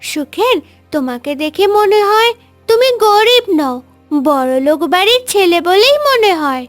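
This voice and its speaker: Woman as a very high, sweet little girl. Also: sing-song, a little slow, soft background music, exaggerated voice. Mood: curious, sweet. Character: kind, curious little landlord's daughter. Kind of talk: Bengali animated story voice acting.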